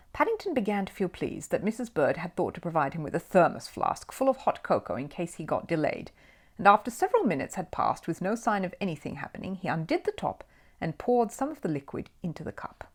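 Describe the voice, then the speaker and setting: A woman reading a children's storybook aloud in an expressive storytelling manner. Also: on the low side. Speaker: audiobook reader. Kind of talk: scripted narration of a children's storybook.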